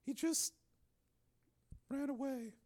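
A man voicing a grieving young woman who speaks in a high, strained, tearful way. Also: normal speed, vocal fry. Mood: grief-stricken.

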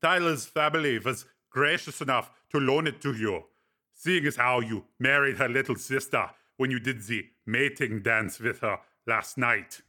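A man's voice: medium pitch, measured pace, sharp tone. A man reading aloud, voicing a dry, mocking older male companion in a storybook. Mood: teasing. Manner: wry, teasing.

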